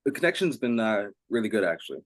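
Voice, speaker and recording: A man's voice: on the low side, big pitch swings, fast talker, no room sound. A man interviewing someone casually and reading out fan questions.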